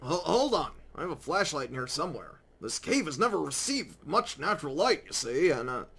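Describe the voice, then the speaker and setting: A man voicing a nerdy, easily indignant older scientist adventurer in a game, with a gravelly, pedantic delivery. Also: fairly high pitch, sing-song, a bit bright, exaggerated voice. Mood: fussy, eager. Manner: pedantic, halting storyteller. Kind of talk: visual novel fan voice acting.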